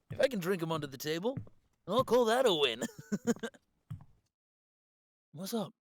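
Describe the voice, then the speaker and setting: A man's voice: on the high side, noisy background. A man playing a laid-back, teasing young werewolf in an audio drama, talking casually. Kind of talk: casual chat, audio roleplay acting.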